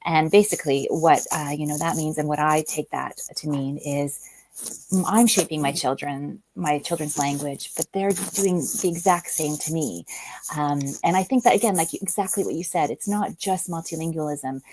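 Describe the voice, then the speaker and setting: A woman's voice: low-pitched, moderate pace, darkish tone, slight background noise, rough recording. A woman, a guest author talking thoughtfully in an interview, with frequent ums and restarts. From Canada. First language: Polish. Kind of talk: conversational interview.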